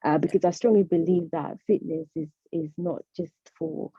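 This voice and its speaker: Woman, low voice, dull dry audio. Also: fairly even intonation, normal speed, mellow, breathy edge, dry recording. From Zimbabwe. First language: Shona. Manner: friendly, hesitant, with many fillers. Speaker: personal trainer. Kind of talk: guest self-introduction.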